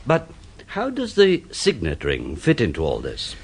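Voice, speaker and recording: A senior police chief in a British radio mystery, businesslike and deliberate. His Received Pronunciation voice has a husky grain and very lively intonation. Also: lowish voice, exaggerated voice. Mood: questioning. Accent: English Received Pronunciation. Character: brisk, authoritative senior English police chief. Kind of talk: British radio detective drama acting.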